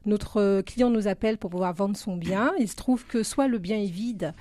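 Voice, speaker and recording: A woman's voice: medium pitch, normal speed, mellow, no echo. A woman in her forties from Guadeloupe, speaking conversationally as a guest in a radio interview. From Guadeloupe, then Paris from age 13. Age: 40s. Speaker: interview guest, business founder.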